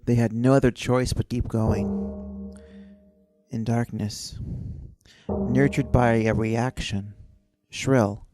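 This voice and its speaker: A man telling a dreamlike spoken-word adventure tale in halting, musing phrases with dramatic pauses. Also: medium pitch, fairly even intonation. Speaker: storyteller, narrator. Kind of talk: loose, dreamlike spoken-word storytelling in an old-time radio adventure manner. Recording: voice over easy-listening music bed. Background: instrumental easy-listening music with guitar.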